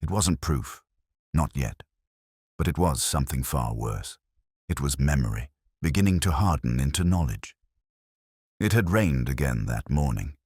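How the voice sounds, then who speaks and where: Very deep, husky brooding detective played by a man. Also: darkish tone, no room sound, studio quality, exaggerated voice. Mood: brooding, reflective. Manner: measured, solemn, reflective delivery. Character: brooding, world-weary male police detective recalling a case. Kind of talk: literary first-person scripted narration.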